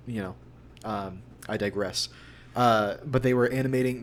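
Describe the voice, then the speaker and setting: Guy w lowish steady voice, casual interview chat, bit of background noise. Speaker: voice actor, interview guest. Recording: clear background hum and noise.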